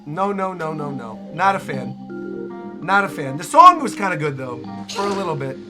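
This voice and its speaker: A man giving casual, excitable reaction commentary as a streamer, with slangy exclamations. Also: fairly high pitch, normal speed. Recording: trailer music behind the voice.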